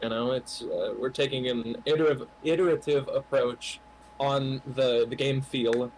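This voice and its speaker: A man's voice: medium pitch, fairly even intonation, normal speed, darkish tone, poor audio. Dev guy explaining stuff on a stream, lots of you know, faint noise under it. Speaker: game developer answering questions. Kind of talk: casual livestream chat.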